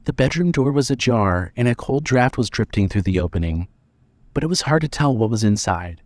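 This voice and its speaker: Measured male story narrator, dry sound. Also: medium pitch, lively intonation, no echo. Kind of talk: scripted dramatic story narration.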